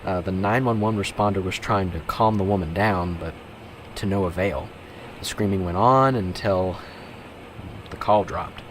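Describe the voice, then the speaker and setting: Noticeable ambient noise sits behind a fairly low male voice. A man acts a young, dutiful police officer in an amateur radio play. His delivery is earnest, measured and conscientious. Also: natural voice. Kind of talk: amateur radio drama acting. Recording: clearly noisy, vehicle-like background ambience.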